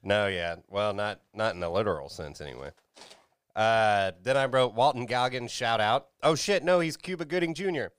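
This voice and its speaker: A man's voice: on the low side, animated, normal speed, a bit bright, clear, resonant tone, dry recording. A man co-hosting a movie podcast, laid-back, going through his notes with a laugh. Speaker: podcast co-host. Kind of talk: casual movie review chat.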